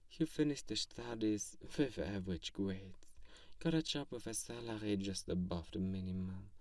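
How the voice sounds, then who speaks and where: A low, close and dry voice for a kindly vampire, played by a man in an ASMR audio roleplay. He is soft-spoken and earnest, with a theatrical, grandiose streak and a gently teasing way of talking. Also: no room sound, distant mic. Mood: solemn. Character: kindly, theatrical, self-styled great male vampire befriending a lonely human.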